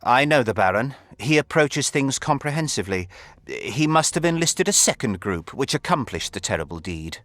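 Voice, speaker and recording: Animated, scheming nobleman. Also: medium pitch, no room sound, clean studio sound. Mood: intrigued. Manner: formal, measured, insinuating. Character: smooth, scheming aristocratic count, suavely insincere statesman. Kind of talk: video game voice acting.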